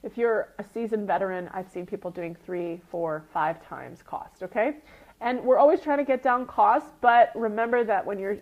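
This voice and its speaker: A woman with a mid-pitched, slightly sniffly voice speaks as a coach in an informal live teaching session. She explains step by step in a conversational way, often tagging on quick check-in questions. The recording is somewhat dull and lo-fi. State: sniffly with a runny nose. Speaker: retreat business coach and group host. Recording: dull lo-fi audio.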